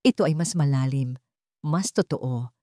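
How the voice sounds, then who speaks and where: A woman narrating a scripted dramatic story in a solemn, deliberate manner. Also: extremely low pitch, warm tone, no echo, clean studio sound.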